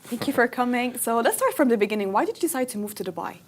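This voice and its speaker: Fast-talking woman interviewer. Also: a little high, animated. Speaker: talk show host. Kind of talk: conversational interviewing.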